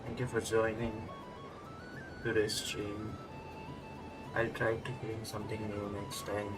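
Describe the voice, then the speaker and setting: A man livestreaming a game, chatting casually to viewers in halting, short phrases. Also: fairly low pitch, monotone. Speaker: game streamer. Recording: game music clearly behind voice.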